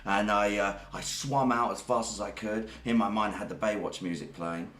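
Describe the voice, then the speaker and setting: A man's voice: on the low side, steady tone, moderate pace, a bit bright, slight background noise. A Greek-born man, hosting a live stream and spinning a rambling, jokey tall tale. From Greece. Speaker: stream host. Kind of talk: comedic live stream chat. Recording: roomy echo.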